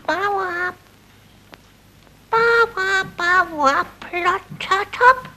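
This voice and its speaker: A tiny flower pot man in a very high, squeaky voice, babbling nonsense and yapping. The delivery is slow and drawn out, like an old puppet show. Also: pushed and bright, slight background noise, heavily acted. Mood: playful. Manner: babbling nonsense words and barking.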